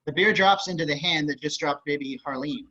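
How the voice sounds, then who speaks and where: A man's voice: fairly high pitch, brisk, sharp tone. Man reading screen directions, slightly muffled. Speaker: reader of stage directions. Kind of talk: scripted narration, reading screen directions aloud. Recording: muffled online call audio.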